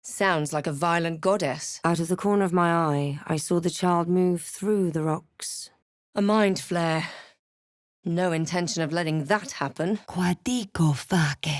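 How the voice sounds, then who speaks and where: A woman playing a snarky young female adventurer in a video game, with a measured, dry and dramatic scripted delivery. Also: on the low side, dry recording, lightly acted. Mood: measured, wary, then forceful incanting. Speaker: video game player-character voice actor. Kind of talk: scripted game voice lines, narration and spell incantations.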